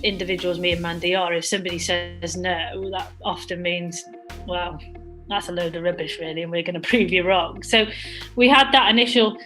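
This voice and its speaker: Low-voiced woman with flat delivery. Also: poor audio. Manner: conversational, frequent restarts and fillers like "sort of" and "really". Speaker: social enterprise co-founder. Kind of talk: interview answers. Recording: music playing under the voice.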